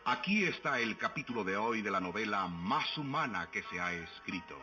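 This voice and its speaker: Male radio serial announcer, vintage: muffled old recording, loud music, highish lively voice, emphatic billing. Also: moderate pace.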